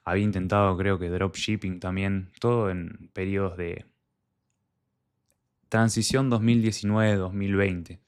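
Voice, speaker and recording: A young man with an Argentine Spanish accent and a low, deep voice tells his own story in a casual, unscripted way. He speaks candidly and conversationally, acting out the things he once told himself. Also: fairly even intonation, measured pace, smooth. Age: young adult. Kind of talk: personal storytelling monologue.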